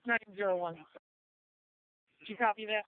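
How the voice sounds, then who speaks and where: A woman's voice: medium pitch, takes it slowly, no room sound. A woman working as a county fire dispatcher, relaying and repeating details in a clipped, procedural way over radio. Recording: tinny narrowband emergency radio.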